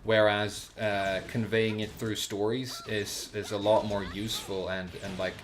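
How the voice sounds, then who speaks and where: Low-voiced male streamer, halting and off-the-cuff. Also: level tone, slight background noise. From Sweden. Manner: halting, searching for words with repeated false starts. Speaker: live streamer. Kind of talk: casual unscripted livestream chat and game commentary.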